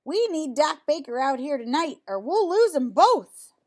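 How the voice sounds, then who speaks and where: A woman's voice: high voice, sing-song, measured pace, darkish tone, pitched above the performer's own voice. Woman reading as a kindly, emphatic storybook farmer. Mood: urgent, worried.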